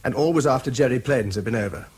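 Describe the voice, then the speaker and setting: An actor playing an earnest young sapper officer with a British accent, polite, hesitant and understated. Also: male voice, medium pitch, fast talker, darkish tone, slightly rough, natural voice. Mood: grave, puzzled. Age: young adult. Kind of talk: radio drama dialogue.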